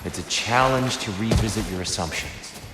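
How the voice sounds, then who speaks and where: A man acts a measured, wise god of war for a video game. The voice is fairly low, eloquent and deliberate, probing like a counsellor. It rings in a long echoing hall with loud music behind. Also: lightly acted. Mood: earnest, counselling. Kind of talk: dramatic video game acting. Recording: cavernous reverb under loud music.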